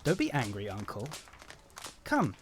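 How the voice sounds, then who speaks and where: An actor playing a cheerful, earnest and courteous Victorian gentleman nephew in an audio drama. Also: fairly low pitch, huge pitch swings, measured pace, a little hiss or hum. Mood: pleading, good-humoured.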